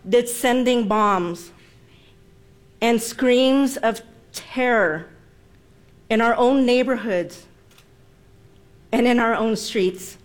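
Woman in her 40s, vietnamese first language, slow deliberate speech, kinda high voice, leans on each point. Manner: deliberate, emphatic, rhetorical. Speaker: speaker. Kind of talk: prepared personal speech.

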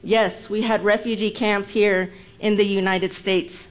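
A woman in her forties, born in Vietnam with Vietnamese as her first language, giving a prepared personal speech in a deliberate, emphatic way. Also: medium pitch, little pitch movement. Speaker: speaker.

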